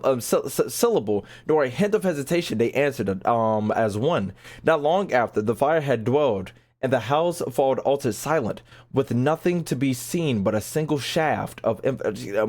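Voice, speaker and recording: Guy streaming a game, medium pitch, talks loose and slangy, repeats himself, trips over words. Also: a little mellow. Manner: stumbles often when reading aloud; slangy, profane, repeats phrases emphatically. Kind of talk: casual livestream commentary, reading game text aloud and chatting.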